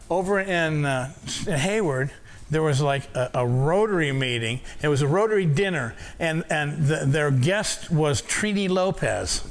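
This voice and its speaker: Casual older guy, audio engineer type, reminiscing, lots of restarts and repeats, slightly high pitch. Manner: rambling, many restarts and repeated words. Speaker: audio engineer telling stories. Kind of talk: casual storytelling talk.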